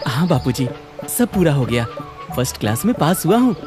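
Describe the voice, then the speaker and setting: A man's voice: a little high, very animated, brisk. A man playing an ambitious young city-educated son in a cartoon story, earnest and insistent. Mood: polite. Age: young adult. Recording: music under the voice.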